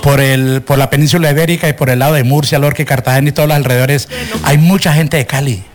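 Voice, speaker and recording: A man's voice: mid-range voice, fairly even intonation, quick pace, faint music behind. A man hosting a radio interview, chatty and teasing, asking leading questions. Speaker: radio host.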